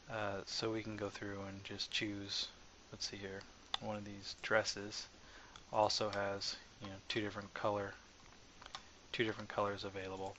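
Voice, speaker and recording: A man narrating a casual software tutorial as the developer, informal and hesitant, as if thinking aloud. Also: on the low side. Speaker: software developer presenting own asset package. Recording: dull lo-fi mic with faint background noise.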